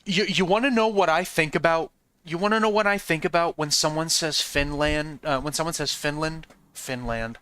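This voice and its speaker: A man's voice: fairly high pitch, moderate pace, bright, forceful tone, clean tone, dry recording. A man streaming a game and chatting with viewers in a rambling, frank way, often asking "you know what I mean?" and swearing now and then. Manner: frank and profane. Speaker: streamer talking to chat. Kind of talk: casual chat with viewers while playing.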